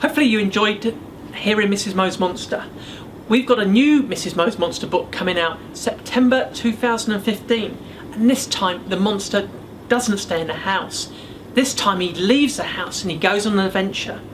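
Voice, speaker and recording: A man's voice: high-pitched, normal speed, a bit bright, low-quality recording. Man reading a children's storybook, faint noise. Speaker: author reading his picture book.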